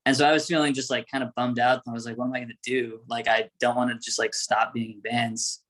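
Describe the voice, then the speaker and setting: Halting, casual man telling a story. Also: medium pitch, level tone, a bit bright. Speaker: band musician being interviewed.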